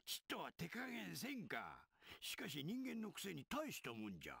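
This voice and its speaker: An actor playing an eccentric, lazy old demon swordsmith in an anime game, grumbling in a creaky elderly voice. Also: male voice, on the high side, wildly swooping pitch, fast, no echo, clean studio sound, full character voice. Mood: pained, grumbling. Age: older. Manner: grumbling, rustic old-man speech. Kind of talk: Japanese anime game voice acting. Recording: loud game music over voice. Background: loud game music.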